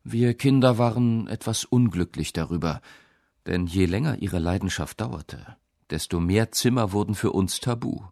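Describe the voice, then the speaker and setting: Male reciter of quotes and verse, gravelly edge, very dry recording. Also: fairly low pitch, moderate pace, a little mellow, no echo. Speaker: reader of quotations and poems. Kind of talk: scripted literary recitation.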